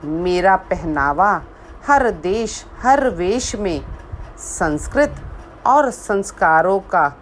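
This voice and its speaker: Woman, poet, slow recitation, repeated lines, faint background hum. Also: medium pitch, very animated. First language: Hindi. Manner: measured, repeats lines for emphasis.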